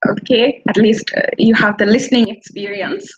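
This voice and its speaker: High-voiced young woman interviewer on a call. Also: moderate pace. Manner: brief, giggly prompts with hesitant fillers. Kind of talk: casual interview over video call. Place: indoor room with a painted wall. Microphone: laptop webcam on a video call. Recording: dull lo-fi call audio.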